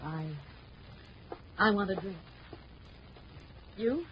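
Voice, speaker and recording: An actress playing a guarded, wistful mistress in a vintage radio noir drama, husky-voiced, with a halting, dramatic delivery. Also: female voice, medium pitch, animated, a little hiss or hum. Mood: defensive, shaken. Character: guarded, wistful mistress caught in a crime, female. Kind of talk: 1950s radio noir acting. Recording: dull vintage radio recording.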